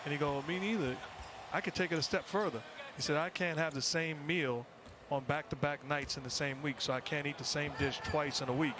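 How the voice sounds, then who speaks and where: A man's voice: a little high, fairly even intonation, a little fast. A man doing chatty basketball color commentary with analysis and anecdotes. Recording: noisy game broadcast with court sounds.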